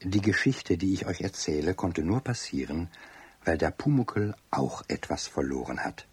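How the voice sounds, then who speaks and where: A man narrating a children's radio play as storyteller, expressive and addressing young listeners directly, with a deep, husky voice. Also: normal speed, no echo.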